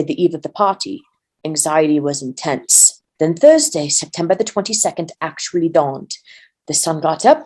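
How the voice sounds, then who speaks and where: Female volunteer narrator for a storybook reading, soft-edged dark tone, mid pitch.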